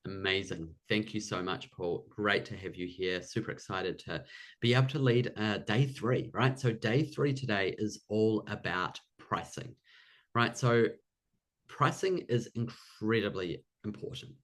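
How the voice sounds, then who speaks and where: Low-pitched New Zealand man presenting. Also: unhurried, fairly bright tone. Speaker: host, business coach. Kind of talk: live online workshop presentation.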